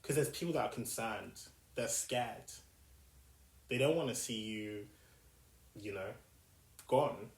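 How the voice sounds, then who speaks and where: A young man with a South London accent hosting a podcast, talking through his past in a thoughtful, reflective solo monologue full of pauses and restarts. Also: on the low side. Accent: South London English. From South London, England. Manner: thoughtful, with frequent fillers and restarts. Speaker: podcast host. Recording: echoey room.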